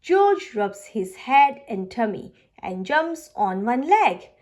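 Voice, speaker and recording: A woman's voice: a little high, very animated, slow pace, a little mellow. A woman reading a picture book aloud for young children as a storyteller and host.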